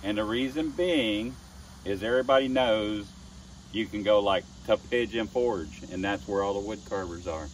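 A man's voice: on the low side, normal speed, recorded from a distance. A man in his sixties, a wood carver, telling folksy stories to a phone camera in an outdoor wood yard, chuckling at himself. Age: 60s. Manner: folksy, chuckling, self-deprecating storyteller. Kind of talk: casual talk to camera. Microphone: phone camera at a distance. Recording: voice slightly off-mic, faint outdoor noise.

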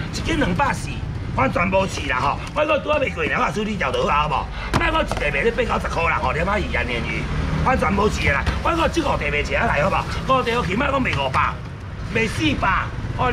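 Casting: male Taiwanese street auctioneer, middle-aged, highish animated voice, loud insistent patter, very noisy outdoor market. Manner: insistent, repetitive, loud calling out. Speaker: seafood auction street vendor. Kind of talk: market hawking, sales patter. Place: open-air market stall on a street. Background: market and vehicle noise.

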